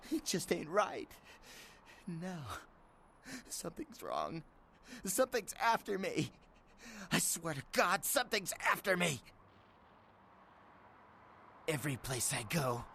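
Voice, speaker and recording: A frightened, sleazy informant in a horror game. His pitch swings about as he stammers and curses, jumpy and paranoid, with faint noise behind him. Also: bright, forceful tone, exaggerated voice. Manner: stammering, jittery, profane. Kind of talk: dramatic video game voice acting.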